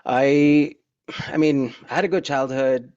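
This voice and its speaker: A middle-aged man from Pakistan telling his personal story candidly and informally, with halting pauses, on a video call. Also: medium pitch, steady tone, darkish tone, clear, resonant tone, dry recording. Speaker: guest speaker. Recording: dull, dry video-call audio.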